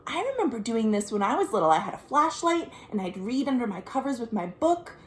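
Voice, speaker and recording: An American woman, a children's librarian, reading a picture book aloud in an expressive, sing-song way with chatty asides to young listeners. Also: on the high side, a little fast, roomy sound. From United States. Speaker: children's librarian hosting a virtual storytime. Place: at home.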